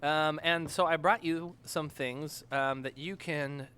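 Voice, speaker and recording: A man's voice: a little high, steady tone, takes it slowly, sharp tone, clean tone. A man hosting a casual podcast chat, joking around and talking with plenty of fillers. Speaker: podcast host.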